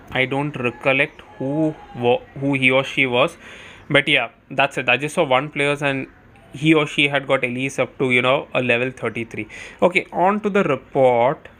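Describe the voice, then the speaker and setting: A man's voice: mid-range voice, fairly bright tone. A man giving casual, chatty live commentary on a game as a streamer, thinking aloud as he goes.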